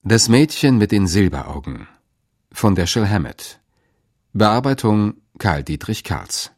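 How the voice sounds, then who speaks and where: Slow, low-voiced male radio announcer. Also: very animated, a little mellow, no echo, studio quality. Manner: measured, clearly separated phrases. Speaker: announcer reading closing credits. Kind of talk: formal radio drama credit announcement.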